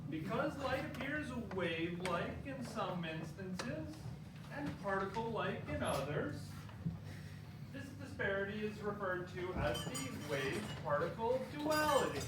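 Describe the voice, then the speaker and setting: A man giving a theatrical dramatic reading of textbook prose, mock-dramatic and halting, with deliberate pauses. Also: high-pitched, very animated. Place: indoor theater, live performance. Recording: noisy, echoey theater, recorded from far off.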